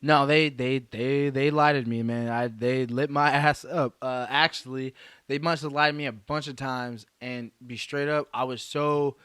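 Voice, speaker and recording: A man's voice: mid-range voice, steady tone, measured pace, a bit bright, smooth, no room sound, studio quality. A young man from Hawaii, a comedian telling a laid-back, laughing story in a casual interview after his set. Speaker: comedian guest. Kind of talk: casual post-show interview.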